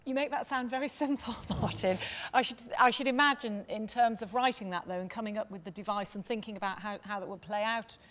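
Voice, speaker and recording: A woman's voice: medium pitch, fairly quick, few pauses, a bit bright. A woman moderating a live panel in an encouraging, conversational way. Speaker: panel chair. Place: theatre stage, seated panel.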